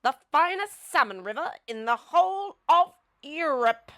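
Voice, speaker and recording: A woman's voice: high-pitched, lively intonation, unhurried, bright, forceful tone, pitched above the performer's own voice, heavily acted. A woman voicing a grand, know-it-all but generous male Duke in a storybook, with a pompous, declamatory manner. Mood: proud, emphatic.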